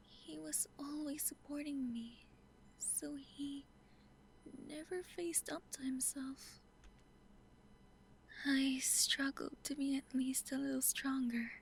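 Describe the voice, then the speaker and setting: An actress playing a gentle grieving mother in a game, speaking in a breathy, halting near-whisper. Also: high voice, steady tone, a little hiss or hum, full character voice, rough recording. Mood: sorrowful, subdued. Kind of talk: dramatic monologue in a game.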